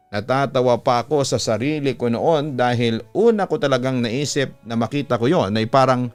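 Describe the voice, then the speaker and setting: A man with a Filipino accent narrating a scripted story like a radio announcer, with measured, deliberate, dramatic phrasing. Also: medium pitch, clean tone. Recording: music bed under the voice. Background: background music.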